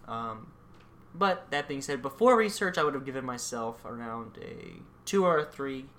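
A young man co-hosting a podcast in casual chat, often pausing with ums and restarting his sentences. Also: mid-range voice, lively intonation, a bit bright. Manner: hesitant, many ums and restarts. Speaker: podcast co-host.

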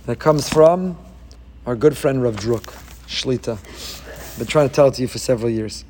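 A man's voice: mid-range voice, moderate pace, a little hiss or hum. A man giving a Torah lesson, telling the story with energy, acting out the people he quotes and mixing Hebrew verses into his talk. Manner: animated storytelling, acts out quoted figures. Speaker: Torah teacher.

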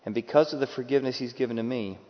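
A man preaching a sermon with dramatic delivery, acting out quoted voices and moving from loud bursts to hushed, quiet lines. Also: mid-range voice, racing. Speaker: pastor. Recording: dull sound with long hall reverb.

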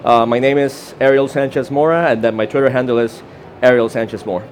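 A man's voice: medium pitch, steady tone, a little fast. A man with a Costa Rican Spanish accent gives a short, informal conference talk, with fillers and restarts. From Costa Rica. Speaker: conference presenter. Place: conference session room.

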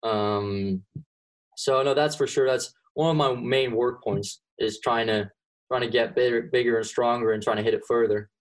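A man's voice: mid-range voice, normal speed, poor audio. A young man from Belgium, answering interview questions casually with lots of ums and restarts. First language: Dutch (Flemish). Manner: relaxed, many ums and restarts. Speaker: golfer being interviewed.

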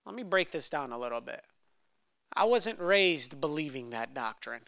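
A young man whose first language is Romanian, preaching a sermon and telling a personal story, often restarting on repeated words as he builds to loud, fervent passages. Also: on the high side, lively intonation, normal speed, vocal fry, no echo, clean studio sound.

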